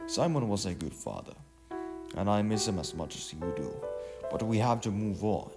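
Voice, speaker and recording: A man's voice: lowish voice, animated, normal speed, pitched above the performer's own voice. A man voicing a proud, defensive young village hunter in a story, insistent and argumentative. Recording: music behind the voice.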